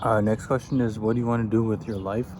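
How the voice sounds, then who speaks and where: A man's voice: lowish voice, level tone, fast. A man in his twenties from Afghanistan, chatting casually while he eats and answers get-to-know-me questions outdoors. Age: 20s. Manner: easygoing, answers questions in a loose, rambling way. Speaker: vlogger. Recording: outdoor recording with background noise and birds.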